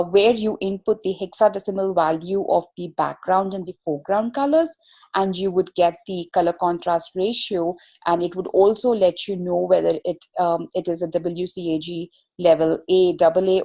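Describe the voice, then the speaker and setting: A woman presenting an instructional webinar as an expert, explaining in a measured, formal manner. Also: on the low side, darkish tone.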